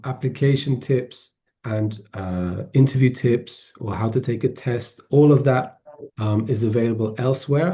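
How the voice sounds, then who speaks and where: A man, presenting an online webinar over a video call, often pausing with fillers and restarting his sentences. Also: medium pitch, dark tone. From Poland, grew up in England. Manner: hesitant, many fillers and restarts.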